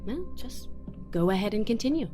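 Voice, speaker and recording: An actress playing a poised, domineering heiress who is mock-sweet and controlling in an audio drama. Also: female voice, medium pitch, lively intonation, fairly quick, warm tone, faint music behind, close to an everyday voice. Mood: mock-sweet menace. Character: poised, domineering female heiress, icily polite.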